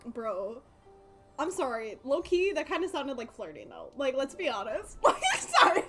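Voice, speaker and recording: A woman's voice: high-pitched, very animated, normal speed, a bit bright, faint music behind. A woman live streaming a video game, giving casual, playful, slangy commentary. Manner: playful, slangy, reading game text aloud.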